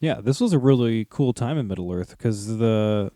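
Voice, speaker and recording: A man's voice: fairly low pitch, animated, normal speed, dark tone, dry recording, studio quality. A man co-hosting a podcast, casually discussing fantasy lore with geeky, slangy, sometimes sweary phrasing. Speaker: podcast co-host.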